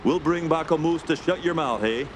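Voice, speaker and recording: An actor playing a hearty, proud French-Canadian backwoods guide in a radio play, with folksy, colloquial speech. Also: male voice, a little high, moderate pace, close to an everyday voice. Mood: jovial. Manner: colloquial, folksy, ends remarks with "eh". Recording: clear background noise under voice.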